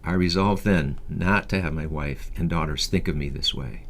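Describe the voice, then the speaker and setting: Deep-voiced man reading his memoir. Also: moderate pace, a bit bright. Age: 50s. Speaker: author reading a personal memoir. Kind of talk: scripted first-person narration, audiobook reading.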